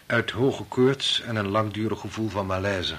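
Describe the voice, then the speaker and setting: An actor playing a calm, sensible husband in a radio play, speaking in a measured, matter-of-fact way with gentle wryness. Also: male voice, fairly low pitch, close to an everyday voice. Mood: measured, serious. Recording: noisy old radio play recording.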